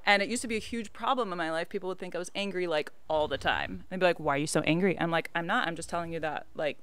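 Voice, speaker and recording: A woman's voice: lowish voice, fast, a bit bright, clean tone. A young adult woman, a podcast guest in casual conversation, who often lilts into singing her words. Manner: often sings her words in a playful lilt.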